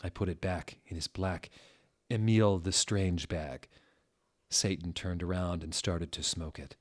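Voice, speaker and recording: A man narrating a story as a comic dramatic reading, with a theatrical, deliberate, mock-serious delivery. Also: low-pitched, fairly even intonation.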